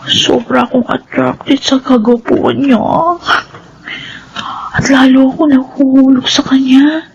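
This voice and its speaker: A soft-spoken young woman. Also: fairly high pitch, slight background noise. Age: 20s. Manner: soft-spoken, earnest, inward narration. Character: young woman Lynette, new college graduate. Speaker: narrator and lead character. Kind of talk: radio drama acting. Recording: muffled vintage radio broadcast.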